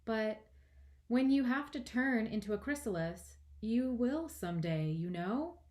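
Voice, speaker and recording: A woman's voice: on the high side, expressive, unhurried, darkish tone, pitched above the performer's own voice. A woman voicing a shy, polite young girl in a children's storybook, earnest and a little hesitant. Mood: polite, insistent. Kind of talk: children's storybook read-aloud.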